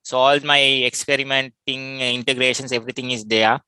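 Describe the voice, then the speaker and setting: A Sri Lankan man presents an online technical workshop in a clear, forward voice. He explains informally and haltingly, and the sound is dry with very little room. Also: medium pitch, fairly even intonation, sharp tone, no room sound, low-quality recording. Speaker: workshop speaker, test automation specialist.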